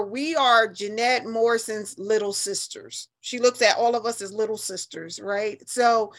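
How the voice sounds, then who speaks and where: A middle-aged American woman chats as a guest in an interview. Her voice sits fairly high, and she speaks in an emphatic, outspoken way with plenty of colloquial phrasing. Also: pushed and bright. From New Haven, Connecticut, US. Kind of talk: casual conversational interview.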